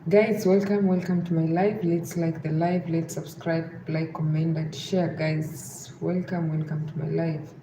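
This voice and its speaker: A woman's voice: sits low, little pitch movement, measured pace, few pauses. A young woman from Kenya with a Western Kenyan accent, hosting a casual livestream and repeating pleas to like and subscribe. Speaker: livestream host. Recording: echoey room with background noise.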